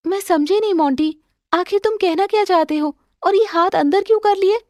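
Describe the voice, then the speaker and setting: A woman's voice: very high voice, normal speed, a little mellow, no echo, studio quality, voice pushed up. A woman voicing a devoted, emotional young wife in a cartoon moral story, speaking in an earnest, pleading, sentimental way. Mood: puzzled.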